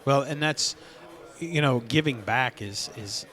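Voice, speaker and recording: A man hosting a podcast, chatting in a relaxed, easygoing way about his own experiences. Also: mid-range voice, measured pace. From North Carolina. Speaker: podcast host. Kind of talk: casual conversation.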